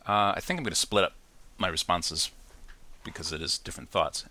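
A man's voice: low voice, a little fast, sharp tone. A man hosting a casual solo podcast from New Zealand, chatty and reflective, with many ums and restarts. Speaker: podcast host.

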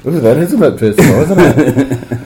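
A man in casual podcast chat, husky and coughing from a cold, candid and giggly, telling stories with halting restarts. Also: medium pitch, animated, mellow. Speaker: podcast co-host.

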